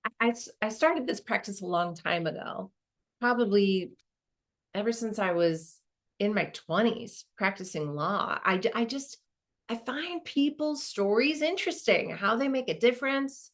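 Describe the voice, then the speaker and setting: A woman performs as a reflective female lawyer in a dramatized monologue. She talks conversationally and anecdotally, with a wry streak, at a middling pitch. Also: expressive, unhurried. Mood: wry, amused.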